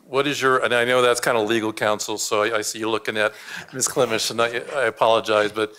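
A man's voice: lowish voice, steady tone, fast, pushed and bright, clean tone. An older man, a board member seated at the dais, asking a careful, apologetic question into a desk microphone. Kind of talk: asking a question at a public meeting. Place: school board meeting room, seated at the dais.

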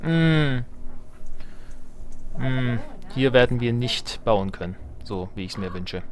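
A man's voice: medium pitch, expressive, takes it slowly, a little hiss or hum. A man doing casual gameplay commentary, thinking aloud and muttering playful, wry asides to himself. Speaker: let's player.

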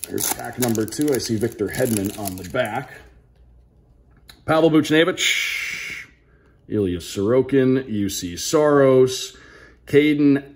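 A man hosting an unboxing video with casual, upbeat commentary, talking straight to the viewers. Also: mid-range voice. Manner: upbeat, addresses viewers directly. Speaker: sports broadcaster hosting a card unboxing. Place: indoor room at a wooden table.

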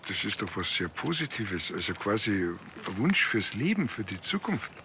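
A man's voice: fairly low pitch, big pitch swings, moderate pace, pushed and bright, a little hiss or hum, exaggerated voice. An actor playing an awkward, chatty, naive village policeman with a Bavarian accent and a hesitant, rambling manner, in a TV crime comedy. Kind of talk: naturalistic TV crime comedy dialogue.

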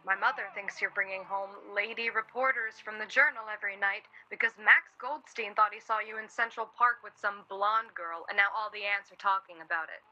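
A woman's voice: medium pitch, steady tone, fairly quick, hardly any pauses, pushed and bright, highs cut off. An actress playing a wry, teasing, affectionate composer in her late twenties in a naturalistic radio play.

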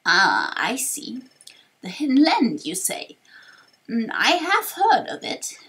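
A woman voicing a forgetful old male tortoise elder in a video game, halting and murmuring as he talks. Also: fairly high pitch, huge pitch swings, a bit bright, full character voice. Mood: sage, musing. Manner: slow, halting, mumbling.

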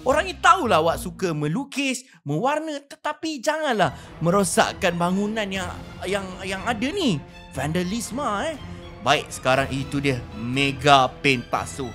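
A Malaysian man with a high, bright, forceful voice commentates on a game as roleplay. He is excitable and exclamatory, stretching words out for emphasis, with game music running behind him. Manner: animated, exclaiming, drawn-out shouts. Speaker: gaming video host.

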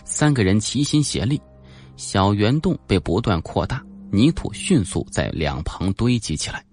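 A man's voice: lowish voice, lively intonation, normal speed, a little mellow. A man narrating a suspense audiobook in a dramatic, scripted storytelling style. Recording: faint background music.

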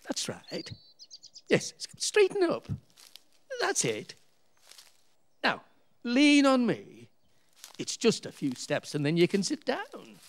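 Guy playing older husband in a radio play, slow talker, really up and down pitch, chuckly and nostalgic. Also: full character voice. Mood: brisk, reassuring. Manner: chuckling, colloquial, unhurried. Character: jovial, nostalgic older husband, curious and dismissive of worries.

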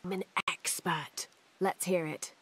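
An actress with a British accent playing a calm, determined young adventurer in a video game. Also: female voice, on the low side, measured pace, slightly rough. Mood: kind, coaxing. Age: young adult. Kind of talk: video game cutscene acting.

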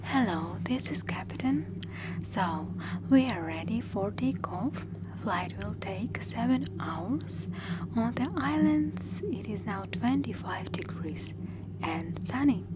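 A woman playing an airline captain, making a calm, formal, measured announcement over a muffled cabin intercom. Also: mid-range voice, heavy background noise.

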